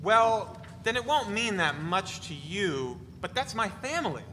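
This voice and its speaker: A man playing a glib, teasing spinner of deadpan tall tales, with conversational salesman's patter, in a dramatic reading. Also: sits high, measured pace, exaggerated voice. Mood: glib, boastful. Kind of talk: dramatic reading of a novel before an audience. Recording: noisy, reverberant hall recording.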